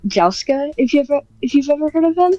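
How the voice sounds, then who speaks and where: A woman's voice: sits high, animated, fast talker, mellow, highs cut off, soft background music. A woman podcast guest chatting casually in a modest, informal and hesitant way. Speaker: podcast guest, script writer. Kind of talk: casual interview chat.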